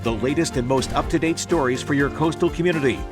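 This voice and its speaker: A man with a slightly raised pitch delivers a scripted station promo. His read is polished announcer style, riding over a clearly audible music bed. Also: quick pace.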